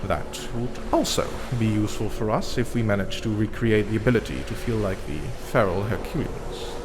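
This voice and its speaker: A man's voice: lowish voice, normal speed, natural voice. A man playing a disdainful, menacing vampire henchman in a fantasy audio drama, scornful and clinical. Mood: calculating. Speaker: voice actor. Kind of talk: scripted fantasy character dialogue. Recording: clearly noisy background hum.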